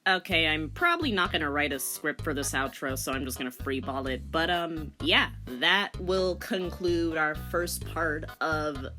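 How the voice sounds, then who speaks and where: A woman with a slightly low voice records a comic story read-through. She narrates theatrically, then drops into informal, disbelieving commentary. Music sits under the voice. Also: expressive, normal speed, hardly any pauses, pushed and bright. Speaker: host, story reader. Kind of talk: comedic dramatic reading with casual commentary. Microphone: recorded voice-over.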